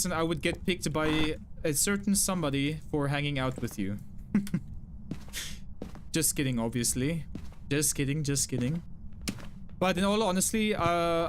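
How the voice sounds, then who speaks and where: A fairly high-pitched young man from South Africa speaks with an Afrikaans English accent, chatting easily with his stream viewers. He repeats short phrases and leans on "like" as a filler. A faint hiss sits behind his voice. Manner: chatty, apologetic, frequent self-repeats and "like" fillers. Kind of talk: casual live-stream chat reading chat messages.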